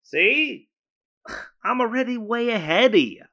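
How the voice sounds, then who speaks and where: Flustered young boyfriend in audio drama, casual slangy talk, pitch jumps around a lot, keeps repeating himself. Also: male voice, lively intonation, takes it slowly, pushed and bright, dull audio, full character voice. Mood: wounded, brushing off. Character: flailing, eager-to-please boyfriend, easily flustered. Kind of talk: naturalistic found-footage audio drama acting.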